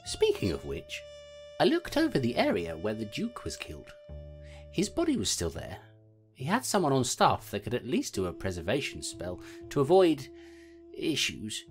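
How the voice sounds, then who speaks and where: A woman's voice: very deep voice, huge pitch swings, normal speed, soft background music, heavily acted. A woman voicing a constable who recounts a murder investigation in a matter-of-fact way. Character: rattled female constable narrating her case. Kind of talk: audio drama acting.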